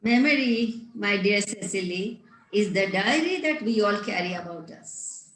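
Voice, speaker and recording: A woman's voice: medium pitch, animated, a little slow, fairly bright tone. A woman playing a prim, pompous older governess in a table read of a Victorian comedy, formal, didactic and scolding. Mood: lofty. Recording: roomy, echoey home recording.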